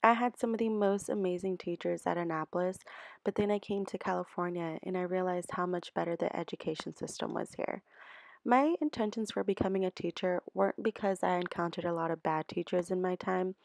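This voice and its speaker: A woman's voice: on the low side, fairly even intonation, a little fast, creaky, a little mellow, dry recording. A woman in her twenties from El Salvador, earnestly reading a scripted narration about teaching. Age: 20s. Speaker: student teacher. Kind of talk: scripted narration for a class video.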